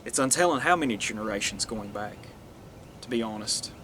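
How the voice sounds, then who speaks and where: A man's voice: lowish voice, animated, brisk, bright, forceful tone, slight background noise. A man from Northeast Tennessee, talking earnestly in a rambling monologue that restarts and trails off. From Northeast Tennessee, USA. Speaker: vlogger. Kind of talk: casual monologue to camera.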